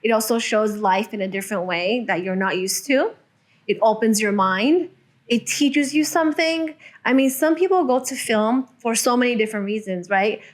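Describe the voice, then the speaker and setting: A woman's voice: a little high, moderate pace. A woman being interviewed, chatting casually with frequent fillers and restarts. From United States. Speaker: interview guest, TV host and food influencer.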